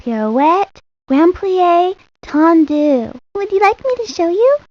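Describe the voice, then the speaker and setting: A woman's voice: extremely high pitch, animated, slow, darkish tone, no echo, exaggerated voice. A woman voicing a sweet little ballerina girl in a cartoon video game, girlish and confiding, rambling like she shares secrets. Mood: proud, sweet. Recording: dull lo-fi 1990s game audio.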